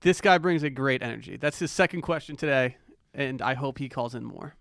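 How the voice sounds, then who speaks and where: A man's voice: mid-range voice, fairly quick, gravelly, a bit bright, dry recording, clean studio sound. A young American man chatting casually as a podcast co-host. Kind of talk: casual chat.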